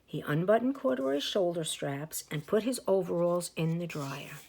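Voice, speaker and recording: Woman, preschool teacher, expressive storybook reading, very varied intonation, drawn-out emphasis. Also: lowish voice, vocal fry. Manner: animated, with slow drawn-out emphasis; asides and explanations for young listeners. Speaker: teacher reading aloud to young children. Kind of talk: expressive picture-book read-aloud.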